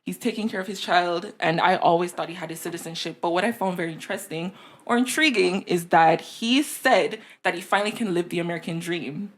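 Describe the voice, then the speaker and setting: A woman's voice: on the low side, fairly quick. A woman in her twenties with a Jamaican accent, co-hosting a casual podcast chat from a small room studio on a desk mic. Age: 20s. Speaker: podcast co-host.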